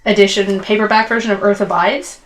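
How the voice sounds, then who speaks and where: A woman's voice: medium pitch, fairly even intonation, fairly quick, a bit bright, a little hiss or hum. A woman from Canada chatting casually as a podcast co-host. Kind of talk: casual chat.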